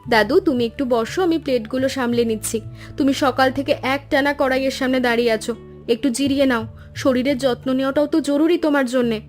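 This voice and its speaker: A dutiful college-age granddaughter voiced by a woman with a high voice. She sounds earnest and caring, in a cartoon storybook style. Light music plays behind her. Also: steady tone, quick pace, lightly acted. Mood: concerned. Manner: earnest, solicitous. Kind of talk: animated storybook cartoon dialogue. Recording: music bed under the voice.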